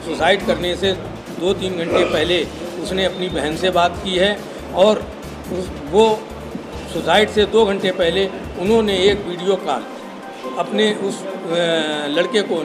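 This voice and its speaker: An older man giving a statement in an interview, speaking in deliberate, halting phrases. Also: high voice, poor audio. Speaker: interviewee, father-in-law giving his side. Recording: loud music over the speech. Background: loud music.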